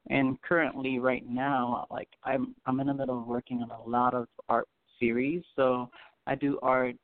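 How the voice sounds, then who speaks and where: A man from Haiti talks about himself as an interview guest, with a hesitant way of speaking that is full of fillers and restarts. His voice sits at an ordinary pitch. The sound is dry and tinny, like it is coming over a phone line. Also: little pitch movement, moderate pace, no room sound. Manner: hesitant, many fillers and restarts, laughs easily. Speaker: guest artist. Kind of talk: casual interview.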